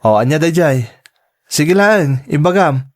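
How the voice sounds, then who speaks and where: Give the part of a young man in an audio drama, played by a male actor. His voice sits a little high. He is devoted and generous, speaking earnestly to the people he cares for. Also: animated, measured pace. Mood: caring. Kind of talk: radio drama acting in Ilocano.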